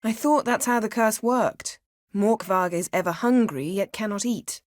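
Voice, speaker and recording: An actress playing a proud, sharp-tongued sorceress in a fantasy video game, speaking in a haughty, sardonic, clipped and articulate way. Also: female voice, medium pitch, a little slow, no echo, studio quality, close to an everyday voice. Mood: wry.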